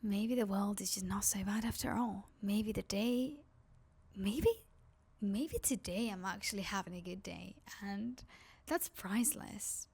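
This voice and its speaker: A woman's voice: medium pitch, a little slow, darkish tone, slightly rough. A woman with a Spanish accent, a native Spanish speaker from Spain, vlogging in a rambling, confiding chat to camera. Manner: rambling, confiding, heavy use of "like".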